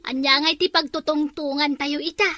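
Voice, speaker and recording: An Ilocano woman trading lively banter in a comedy skit. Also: high voice, brisk.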